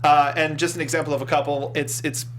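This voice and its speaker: A man's voice: fairly high pitch, flat delivery, fast talker, a little hiss or hum. A man hosting a casual panel discussion about films, talking with co-hosts. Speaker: host.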